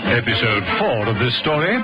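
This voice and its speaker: A man's voice: medium pitch, sing-song, normal speed. A man narrating a vintage radio thriller in a dramatic, suspenseful storytelling manner. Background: loud music.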